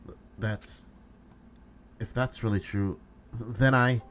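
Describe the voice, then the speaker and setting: A man's voice: medium pitch, fairly even intonation, takes it slowly, a bit bright, a little hiss or hum. A man voicing an earnest, anxious teenage schoolboy in amateur visual novel dialogue, hesitant and prone to stammering. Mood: shaken guilt. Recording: music behind.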